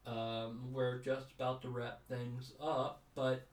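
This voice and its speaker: A man's voice: mid-range voice, little pitch movement, slow. Man, podcast co-host, casual rambling chat, cynical, echoey room, distant mic. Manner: conversational, rambling, cynical asides. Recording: roomy sound, mic at a distance.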